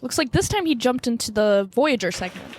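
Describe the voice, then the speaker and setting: A woman's voice: fairly high pitch, lively intonation, fairly quick, darkish tone, slight background noise, lightly acted. A woman playing a breezy, flippant young time-agency worker in a comic radio drama.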